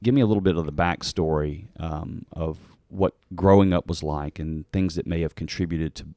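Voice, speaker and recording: A man's voice: sits low, normal speed, mellow, dry recording, clean studio sound. A man hosting a podcast, casually guiding his guest with prompting questions. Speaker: podcast host. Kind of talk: interview questions, conversational.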